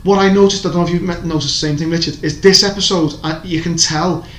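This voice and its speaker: Guy rambling about tv, bit higher voice, echoey room with faint noise. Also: steady tone, fast, a bit bright. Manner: rambling, many restarts and fillers, opinionated. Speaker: podcast co-host. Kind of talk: casual chat reviewing TV shows.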